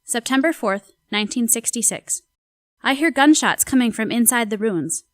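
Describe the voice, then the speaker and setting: A woman voicing a 1960s anthropologist who reads her field journal in a measured, reflective way. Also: on the high side, expressive, no room sound, studio quality, lightly acted. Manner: measured, reflective diary reading. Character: female anthropologist in the 1960s, curious and uneasy. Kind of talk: dramatic reading of a journal.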